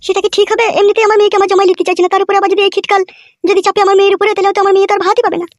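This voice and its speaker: A woman's voice: extremely high pitch, little pitch movement, very fast, no room sound, clean studio sound, distant mic. A woman playing a money-minded, wary village mother in a comic cartoon, sassy and questioning.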